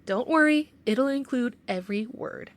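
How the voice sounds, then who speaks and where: Woman playing a high-voiced, stern city investigator. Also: lightly acted. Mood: firm. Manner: measured, authoritative, admonishing. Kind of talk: audio roleplay drama.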